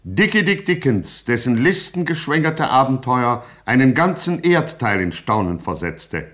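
An actor playing a solemn, pompous, tongue-in-cheek narrator of a satirical gangster radio play. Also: male voice, mid-range voice, very animated, a little slow, fairly bright tone. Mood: mock solemn. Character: grandiose mock-epic narrator of a gangster satire.

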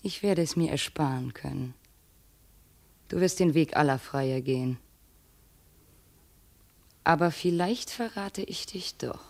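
An actress playing a proud, bitter, jilted princess now enslaved, speaking reproachfully in formal 1950s German radio drama style. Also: female voice, low voice, measured pace, creaky, pauses often, exaggerated voice. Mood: proud, menacing. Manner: reproachful, cutting, formal stage diction.

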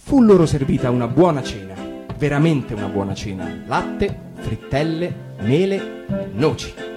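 Expressive male fairy-tale reader. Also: medium pitch, a little slow. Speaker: narrator. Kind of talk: radio fairy-tale reading. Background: guitar music.

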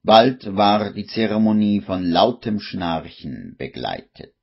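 A man's voice: lowish voice, lively intonation, takes it slowly, vocal fry, breathy edge. A man narrating a scripted 1950s German radio play. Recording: dull old 1950s radio recording.

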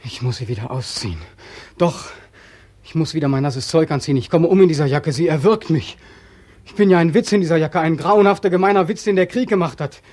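A man's voice: a little high, fairly quick, a little mellow, no echo, lightly acted. A man playing a bitter, haunted soldier back from war in a 1940s radio drama, brooding and intense. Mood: stunned, brooding, then anguished. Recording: old 1940s radio studio recording.

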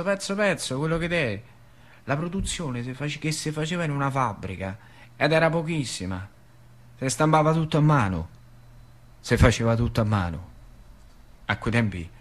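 Nostalgic ceramics worker. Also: male voice, mid-range voice, fairly bright tone. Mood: emphatic. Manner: emphatic, repetitive storytelling. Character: nostalgic old-time male ceramics factory worker recounting his craft. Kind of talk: theatre monologue.

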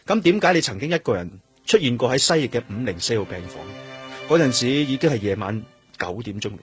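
An actor with a Hong Kong Cantonese accent playing a calm, persistent police detective in a radio drama, speaking in a measured, questioning way. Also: male voice, mid-range voice, soft background music, lightly acted. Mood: polite, probing. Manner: measured, questioning, with noncommittal hums.

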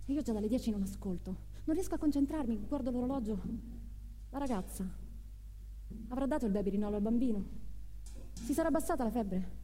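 An actress playing an earnest, homely activist mother in a theatrical confessional monologue, pensive and halting, repeating her phrases. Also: a little high, lively intonation, dark tone, lightly acted. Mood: exasperated, questioning. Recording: reverberant hall, music behind.

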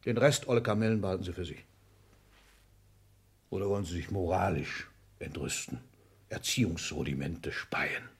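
An actor playing a domineering, vain seventy-year-old industrial magnate in a 1960s radio drama, imperious and declamatory. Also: male voice, low-pitched, moderate pace, vocal fry, long pauses. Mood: scornful, brooding. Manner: imperious, declamatory, bursting from muted asides into shouts.

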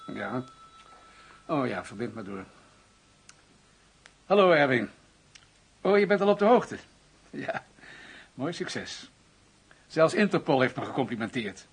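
Radio drama police chief: medium pitch, very husky, measured and official. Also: male voice, heavily acted. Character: composed, scheming police chief defending his man.

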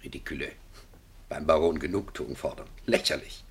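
An actor in a German radio drama playing a haughty, condescending marquis, mocking and menacing. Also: male voice, extremely low pitch, moderate pace, husky, full character voice. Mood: scornful. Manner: haughty, mocking, sprinkles French phrases. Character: condescending French marquis, smooth, menacing go-between.

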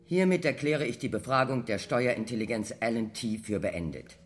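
A man's voice: mid-range voice, moderate pace, a little hiss or hum, lightly acted. An actor in a radio drama playing a stern, impatient male chairman of a hearing, formal and officious.

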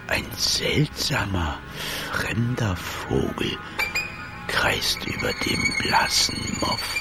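An actor playing an anxious, excitable little man in a radio play, shifting between breathless whispers and sudden outbursts. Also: lowish voice, heavily acted. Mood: puzzled, tense.

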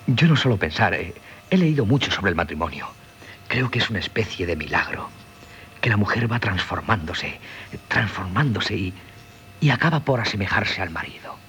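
An actor playing a dreamy, earnest young sculptor in a Castilian Spanish radio drama, halting and repeating himself as he muses. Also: on the low side, big pitch swings, fairly bright tone. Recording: noisy 1970s radio broadcast.

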